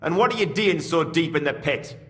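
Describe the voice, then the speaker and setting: Geordie miner in a cavernous echo. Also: male voice, fairly high pitch, animated, a little fast, a bit bright. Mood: startled, wary. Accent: Northern English, Geordie. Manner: earthy dialect speech. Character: grieving working-class miner foreman, devout and plain-spoken. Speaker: mine foreman. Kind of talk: video game dialogue acting. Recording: long cavernous reverb.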